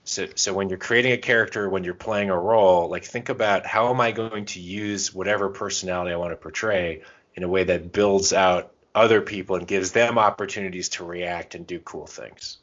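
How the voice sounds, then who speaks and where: Very deep-voiced man, casual podcast co-host. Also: fairly even intonation, a little fast, fairly bright tone. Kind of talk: casual conversational advice and anecdotes. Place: podcast recording. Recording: dull, muffled lo-fi sound.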